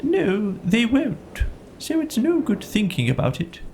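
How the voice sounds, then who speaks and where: A man voicing a learned, self-important old owl with a pompous, deliberate storybook delivery. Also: high-pitched, huge pitch swings, vocal fry, darkish tone, a little hiss or hum, pitched above the performer's own voice, heavily acted. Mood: dismissive.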